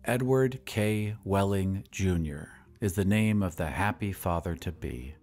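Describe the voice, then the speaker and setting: A man's voice: deep voice, slow pace, soft background music, voice pushed down. A man voicing a weary, bitter expectant father, sulky and taut, in an audio drama. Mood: weary, sardonic. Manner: sardonic, flat, sulky, taut.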